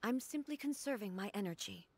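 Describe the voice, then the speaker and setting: A woman voicing a composed, regal ruler-god in a video game, measured and solemn. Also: medium pitch, expressive, a little mellow, a little hiss or hum, natural voice.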